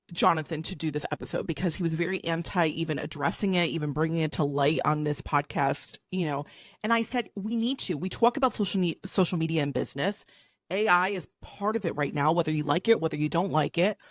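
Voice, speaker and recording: A woman's voice: deep voice, fairly quick, no echo, studio quality. A woman co-hosting a podcast, talking in a bubbly, chatty way with lots of fillers. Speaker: podcast co-host. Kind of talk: casual chat.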